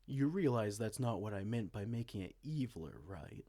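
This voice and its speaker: A man's voice: on the low side, lively intonation, moderate pace, mellow. A man playing a dorky, petulant evil king in a comedic fantasy roleplay, pompous, theatrical and exasperated. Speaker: amateur roleplay audio performer.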